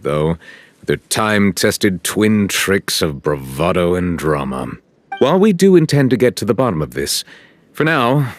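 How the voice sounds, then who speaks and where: An actor playing a solemn, courteous chief justice in a video game, speaking in formal, measured, stately phrases. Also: male voice, low-pitched. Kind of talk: scripted game cutscene dialogue.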